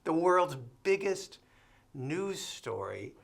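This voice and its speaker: A man's voice: on the high side, sing-song, takes it slowly, creaky. An actor in his fifties playing a man recalling a day of his life for an interview. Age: 50s. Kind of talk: film dialogue.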